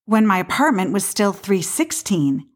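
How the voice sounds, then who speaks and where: An actress playing a skeptical, easily flustered woman in a comedic audio play, talking in a halting, conversational, protesting way. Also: medium pitch, very animated. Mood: casual, curious. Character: skeptical, flustered woman who rejects romantic destiny.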